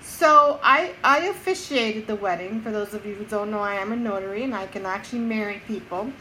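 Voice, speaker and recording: A woman's voice: mid-range voice, fairly quick, sharp tone, a little hiss or hum, poor audio. A woman co-hosting a podcast, telling a story in casual chat with friends and laughing often. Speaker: podcast co-host and notary.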